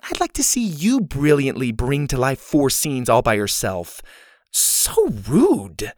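A man's voice: mid-range voice, wildly swooping pitch, slow, darkish tone, dry recording, clean studio sound. A man voicing a bossy, indignant and theatrical schoolgirl in a haughty, scolding manner for a storybook. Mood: haughty, offended.

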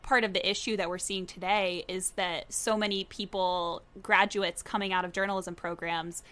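A woman's voice: medium pitch, fairly even intonation, normal speed, pushed and bright. A young woman from Florida, a business journalist, chatting as an interview guest in an upbeat way and laughing often. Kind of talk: casual interview chat.

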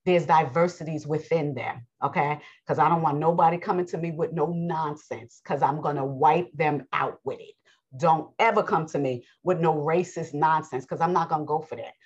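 Raspy low-voiced woman preaching. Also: muffled recording. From United States. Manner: emphatic, preachy, clears throat often. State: strained voice. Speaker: scripture teacher. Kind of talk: bible lesson with commentary.

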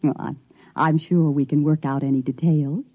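A woman's voice: low voice, steady tone, moderate pace, no room sound, lo-fi sound. An actress playing a defensive, frightened woman scientist in a 1950s radio drama, agitated and pleading. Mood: nervous, placating.

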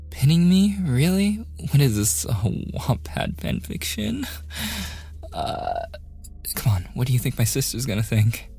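A man playing a shy, awkward young man in a boyfriend ASMR roleplay, softly stammering and often slipping into whispers. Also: medium pitch, breathy edge, heavily acted. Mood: startled, flustered. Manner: hesitant, stammering, self-deprecating joking.

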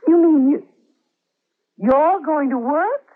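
High-voiced, haughty society wife. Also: female voice, takes it slowly. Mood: disbelieving. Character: cool, haughty, mocking young society wife. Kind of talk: 1940s radio drama acting. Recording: muffled old radio recording.

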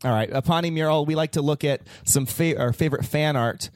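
A man hosting a podcast in casual chat, chatty and quick to laugh, with hedging asides. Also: on the high side, dry recording. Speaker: podcast host.

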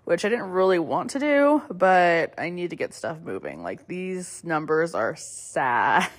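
A young woman hosting a casual podcast, a little hoarse from a cold, chatting loosely with lots of fillers and small laughs. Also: fairly low pitch, very animated, unhurried. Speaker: podcast host. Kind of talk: casual solo podcast chat.

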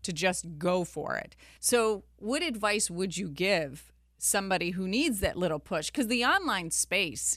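A woman's voice: mid-range voice, fairly bright tone, dry recording. Encouraging woman host, dry close sound. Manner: encouraging, short backchannels. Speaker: interview host. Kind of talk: casual interview chat.

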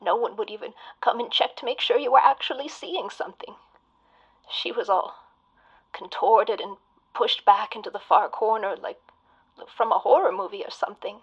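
An actress playing a haunted woman caller on a radio show, speaking in hushed, creepy tones that slip into whispers. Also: mid-range voice, normal speed, slightly rough, dull audio, natural voice. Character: haunted woman caller, eerie and quietly unsettling. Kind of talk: audio drama acting.

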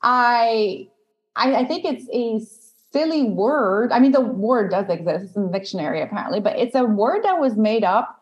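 A chatty, opinionated woman whose first language is French, with a fairly high voice. She talks casually in an interview, full of fillers and light laughs. The recording sounds dull and lo-fi. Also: lively intonation, normal speed. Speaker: guest, dating content creator. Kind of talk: casual podcast conversation.

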